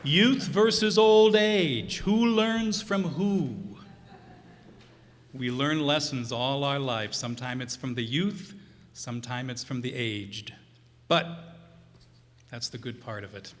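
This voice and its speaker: A man hosting a live show, informally addressing the audience in a halting, unscripted way. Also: medium pitch. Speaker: host introducing the cast. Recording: echoey hall, audience, background noise.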